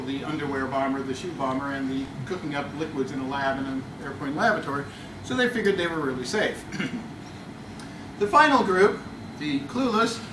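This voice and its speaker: A man plays a smug government spokesman, dry and condescending, and his voice sits high. He sounds distant in an echoey meeting room, with steady noise underneath. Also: natural voice. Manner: dry, mock-official, condescending. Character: pompous male government spokesman, smug and bureaucratic. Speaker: Toastmasters contest speaker. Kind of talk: deadpan comic speech in character as a government official. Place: meeting room before a small audience.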